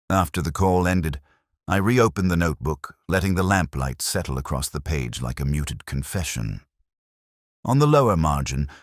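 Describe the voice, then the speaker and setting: A man playing a brooding, weary police detective, narrating a mystery in the first person with solemn, measured, literary phrasing. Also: extremely low pitch, dry recording, clean studio sound. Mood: pensive, uneasy.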